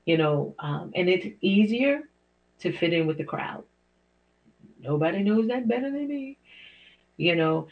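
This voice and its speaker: A woman's voice: lively intonation, unhurried, long pauses. A middle-aged woman hosting her own show, talking in an encouraging, preacherly way with small laughs. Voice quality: deep for a woman. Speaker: host. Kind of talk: inspirational talk show monologue. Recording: dull lo-fi podcast audio.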